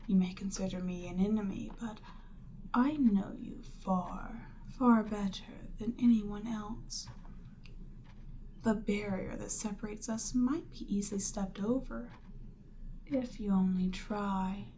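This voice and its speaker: A woman voicing a proud, seductive demon queen in a scripted ASMR roleplay, haughty and measured, lapsing into breathy near-whispers. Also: medium pitch, steady tone, dark tone, a little hiss or hum, rough recording. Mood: seductive, patient.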